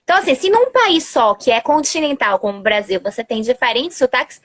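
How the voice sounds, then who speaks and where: A talkative woman teacher explains things in a loose, chatty way, often stopping to correct herself. Her voice sits a little higher than most women's. It comes through a video call on a live stream. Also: animated, fairly quick. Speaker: language teacher. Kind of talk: casual live-stream chat.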